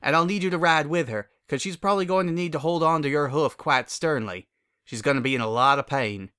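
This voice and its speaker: A man's voice: a little high, a little fast, fairly bright tone, smooth, voice pushed up, close to an everyday voice. A man voicing a plain-spoken, practical country farm mare, caring and firm, with a folksy, blunt manner. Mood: grave, urgent.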